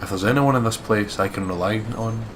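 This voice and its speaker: A man's voice: lowish voice, a little fast, noisy background. A man reading visual novel narration aloud as a let's play co-reader, breaking into casual asides.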